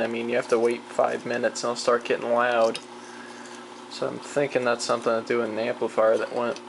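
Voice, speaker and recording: A person chatting casually and off the cuff while demonstrating a vintage machine, rambling and muttering to themselves as they work. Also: mid-range voice. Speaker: hobbyist demonstrating vintage tape recorder. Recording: clearly noisy home video, machine hum.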